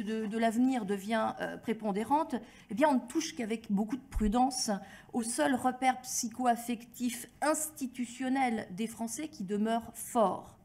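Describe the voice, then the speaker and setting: Mellow-voiced woman giving formal testimony. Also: on the high side. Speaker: academic expert giving testimony at a hearing. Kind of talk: formal prepared address with spontaneous phrasing.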